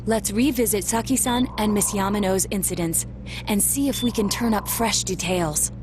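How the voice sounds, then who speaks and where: A woman playing a composed, analytical teenage detective in a video game, with measured, formal and precise speech. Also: mid-range voice, background noise, lightly acted. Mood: analytical, resolute. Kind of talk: English game voice acting.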